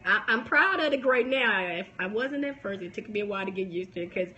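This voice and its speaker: A woman's voice: medium pitch, expressive, fast, sharp tone, lo-fi sound. A woman in her forties with a youthful baby voice, chatting animatedly on a phone live stream about promoting books, with lots of restarts and laughs. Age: 40s. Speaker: book promotion coach and podcaster. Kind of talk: casual live-stream chat.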